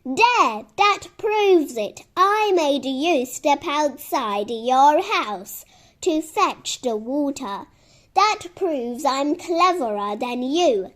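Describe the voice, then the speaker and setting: Woman playing a high-pitched wheedling trickster squirrel. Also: animated, drawn-out words, a bit bright, exaggerated voice. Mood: triumphant. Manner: sing-song cuckoo calls, wheedling. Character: sly squirrel posing as pleading pigeon, male, indignant.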